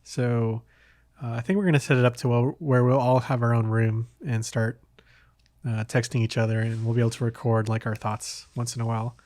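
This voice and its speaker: A man hosting a podcast, talking casually about games in a hesitant, halting way. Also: medium pitch, little pitch movement, darkish tone, no echo. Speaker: podcast host.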